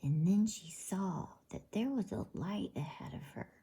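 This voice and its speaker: A woman reading a bedtime story in a soft, breathy ASMR whisper, gentle and unhurried. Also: medium pitch, lively intonation.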